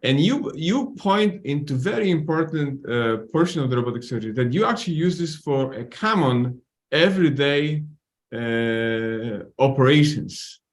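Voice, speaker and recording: A man whose native language is Polish, a surgeon commenting at a webinar, polite and hesitant, with many pauses and restarts. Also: a little high, expressive. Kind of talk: webinar discussion.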